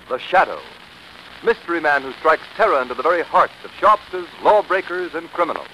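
Male 1930s radio announcer: fairly high, sweeping intonation, dramatic sell, faint hiss. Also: pushed and bright, low-quality recording. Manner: dramatic, declamatory. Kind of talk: 1930s radio announcing, scripted sales pitch.

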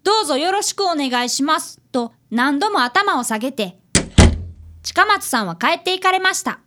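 A woman's voice: sits high, expressive, normal speed, bright, forceful tone, slight background noise. A woman playing a polite, helpful young assistant at a detective agency, who also narrates, in a radio drama. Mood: narrating.

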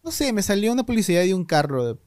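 A man's voice: high-pitched, sing-song, fast talker, a little mellow, no echo. A man chatting casually in a group, easygoing and agreeable, with hesitant fillers.

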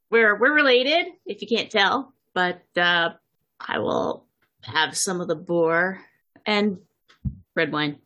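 A woman's voice: on the low side, takes it slowly, pauses often, pushed and bright, dull audio. A woman speaking casually as a player in a tabletop roleplaying game.

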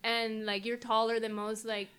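Podcast host: higher-pitched woman, chatty, teasing, a bit stoned. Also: level tone, moderate pace, fairly bright tone, smooth. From Chicago area, USA. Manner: teasing, chatty, laughs easily. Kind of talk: casual podcast chat.

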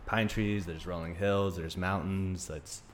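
A man in his thirties speaks in a low voice as a podcast guest. He tells his story in an informal, rambling way, with light noise behind him. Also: steady tone, unhurried. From Greece, Denmark and Arizona. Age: 30s. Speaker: podcast guest, naturopathic doctor. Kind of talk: casual conversational interview.

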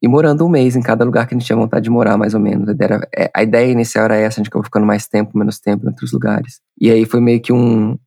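A man's voice: fairly low pitch, steady tone, rapid-fire, vocal fry, few pauses, mellow, no room sound, studio quality. A Brazilian man in his forties, a podcast guest telling his story casually, rambling with many restarts and fillers. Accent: Brazilian Portuguese.

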